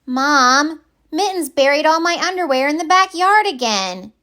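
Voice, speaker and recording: High-pitched storybook dinosaur girl, young, exasperated and dramatic, acted out by a woman reader, swooping and loud. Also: lively intonation, a little slow, exaggerated voice. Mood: exasperated complaint. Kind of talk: picture book read-aloud.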